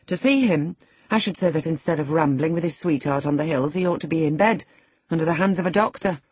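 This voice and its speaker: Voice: mid-range voice, fairly quick, darkish tone, husky, dry recording, lightly acted. A narrator acting a blunt, disapproving housekeeper woman. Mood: indignant. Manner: frank, firm.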